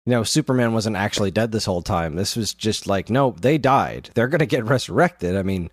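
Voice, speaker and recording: A man's voice: fairly low pitch, animated, fairly quick, no echo, clean studio sound. A man co-hosting a podcast in casual conversation, animatedly retelling things and acting out the odd quote. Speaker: podcast co-host. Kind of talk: casual chat about films.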